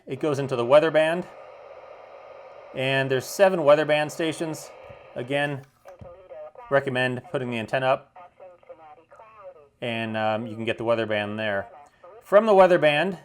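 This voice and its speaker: A man giving an unscripted product demonstration in a plain-spoken, explanatory way, sometimes halting to correct himself. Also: mid-range voice. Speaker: product reviewer.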